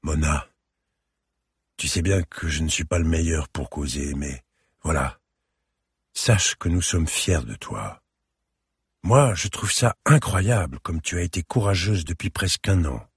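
Man reading a plain-spoken tender father, low husky voice, storybook. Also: expressive, measured pace, pauses often, dry recording, studio quality, heavily acted. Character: gentle, awkward father comforting his daughter.

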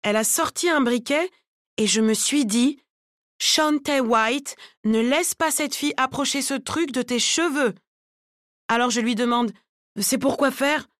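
A woman narrator performs an indignant female salon customer from a novel. She is chatty and dramatic, with a raised pitch, in a dry close recording. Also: measured pace, fairly bright tone, no room sound. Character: outraged woman salon customer recounting a grievance.

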